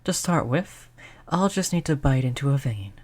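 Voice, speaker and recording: A performer voicing a polite vampire who looks like a child, gentle, reassuring and chatty in an audio roleplay. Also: mid-range voice, lively intonation, moderate pace, lightly acted. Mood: attentive, reassuring. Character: polite vampire turned young, stuck looking like a child. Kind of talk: audio roleplay spoken to the listener.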